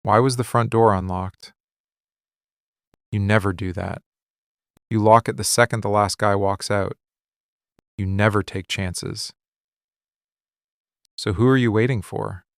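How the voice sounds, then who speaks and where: A man playing a possessive, menacing mafia boss in a boyfriend ASMR roleplay, speaking in a controlled, deliberate, quietly commanding way. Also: fairly low pitch, fairly even intonation, gravelly, smooth, dry recording, studio quality. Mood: suspicious, probing. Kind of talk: boyfriend ASMR roleplay, scripted dramatic monologue.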